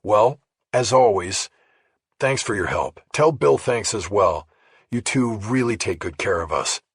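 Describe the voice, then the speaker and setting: A man's voice: lowish voice, expressive, a little slow, slightly rough, no echo, clean studio sound, heavily acted. A man voicing a dogged male investigative journalist in an audiobook. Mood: friendly. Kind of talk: audiobook character dialogue.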